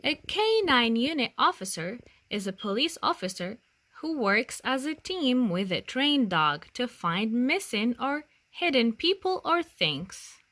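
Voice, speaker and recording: Female storytime reader for little kids, expressive and deliberate, with very varied intonation and a slow delivery. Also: on the high side, no room sound. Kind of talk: picture book read-aloud for young children.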